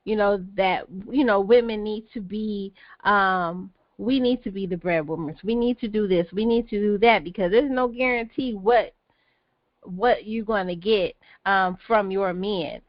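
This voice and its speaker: A woman's voice: mid-range voice, a little slow, no room sound, lo-fi sound. A woman hosting a conversational panel discussion, repeating phrases for emphasis. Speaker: podcast host.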